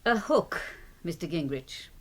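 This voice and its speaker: A woman's voice: lowish voice, animated, a little slow. An actress playing a cool, dry-witted lady doctor in a radio drama, arch and articulate. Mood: composed, bitter.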